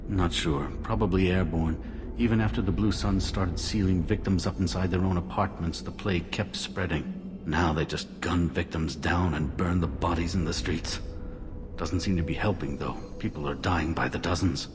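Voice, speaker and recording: A man's voice: low-pitched, steady tone, normal speed, background noise, lightly acted. An actor playing a wary, weary man sheltering in quarantined slums in a video game, speaking grimly and matter-of-factly. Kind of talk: video game dialogue acting. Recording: music playing behind the voice.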